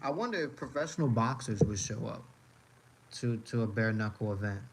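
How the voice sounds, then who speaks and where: A man's voice: fairly low pitch, animated, a little fast, a little hiss or hum, poor audio. A man from the United States chatting casually on a live stream as he reacts to videos, rambling and repeating his phrases with many fillers.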